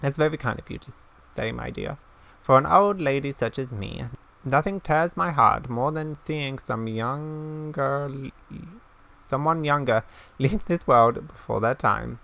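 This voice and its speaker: A man reading aloud the part of a kindly old village woman in a fantasy game, gently and solemnly, with halting delivery. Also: mid-range voice, creaky. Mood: sorrowful, kind.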